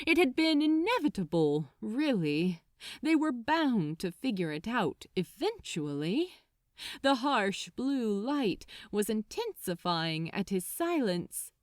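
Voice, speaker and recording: Slow, very animated woman narrator. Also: mid-range voice, dry recording, studio quality. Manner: expressive, deliberate, dramatic. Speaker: audiobook narrator. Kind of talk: dramatic scripted story narration.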